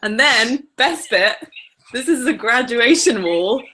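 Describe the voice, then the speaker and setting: A woman's voice: a little high, lively intonation, takes it slowly, pushed and bright. A woman giving a playful, giggly tour of her home while carrying a laptop around. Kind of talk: casual group video chat. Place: walking through her home at night. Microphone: laptop mic carried around. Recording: noisy laptop mic.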